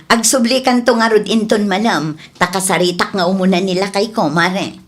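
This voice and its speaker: A woman's voice: mid-range voice, fairly even intonation, normal speed, natural voice. A woman playing a practical middle-aged wife and neighbour in an Ilocano radio drama, inquisitive and matter-of-fact. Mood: cautious, practical.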